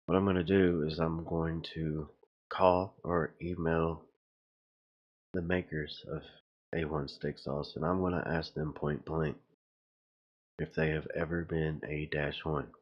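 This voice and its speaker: A man's voice: rumbling low, flat delivery, takes it slowly, long pauses, muffled recording. A man giving casual, rambling video commentary in a puzzled, insistent way. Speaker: video host.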